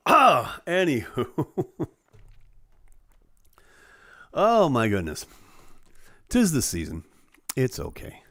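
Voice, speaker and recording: A man's voice: mid-range voice, huge pitch swings, drawn-out words, gravelly, long pauses, fairly bright tone, no echo, clean studio sound. A man hosting a live stream, chatting casually with viewers in a self-deprecating way while losing his voice. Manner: chatty and self-deprecating, many fillers and asides. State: losing his voice, throat-clearing.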